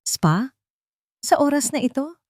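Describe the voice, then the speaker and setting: A woman's voice: sits high, big pitch swings, measured pace, darkish tone, no echo, studio quality, voice pushed up. A woman narrator voicing a worried young man in a story, anxious and pleading as he searches for his little sister. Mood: disbelieving.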